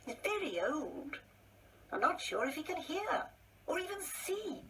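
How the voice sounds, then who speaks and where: An actress playing an older, anxious and solicitous English wife in a radio play, careful and coaxing. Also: female voice, high voice, big pitch swings, a little slow, pushed and bright, heavily acted. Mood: anxious, pleading. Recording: roomy distant mic, faint noise.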